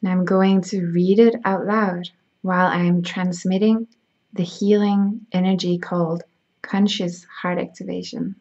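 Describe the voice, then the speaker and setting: Slow, husky-edged woman, gravelly and soft. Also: fairly low pitch, little pitch movement. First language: Danish. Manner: soft, slow, soothing, drifting into whispers. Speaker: spiritual healer. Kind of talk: slow guided meditation reading. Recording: dull lo-fi sound.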